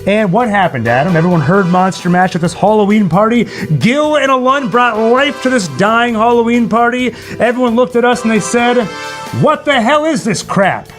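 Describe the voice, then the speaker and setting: Guy with a highish voice doing chatty livestream host stuff, jokey and rambly, music playing behind him. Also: normal speed. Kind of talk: casual livestream chat and sign-off. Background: Halloween novelty songs.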